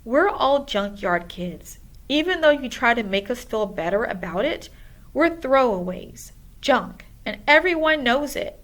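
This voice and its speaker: A woman's voice: a little high, big pitch swings, unhurried, a bit bright. A woman voicing an earnest schoolboy outcast and dreamer in a storybook, heartfelt and insistent. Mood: dejected.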